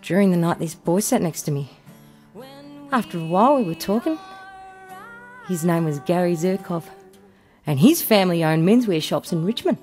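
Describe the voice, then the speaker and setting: A woman's voice: on the low side, lively intonation, normal speed, pauses often, dark tone, lightly acted. An Australian woman acting in a radio play as a wary, streetwise young runaway, plain-spoken and colloquial. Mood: dreamy recollection. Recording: music under the voice.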